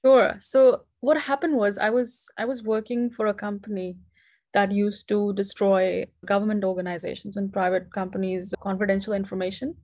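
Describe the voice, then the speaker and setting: A woman's voice: medium pitch, little pitch movement, moderate pace, a little mellow. A young woman from India in her twenties, a company founder, talking earnestly as an interview guest and often restarting her words. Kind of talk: casual interview conversation.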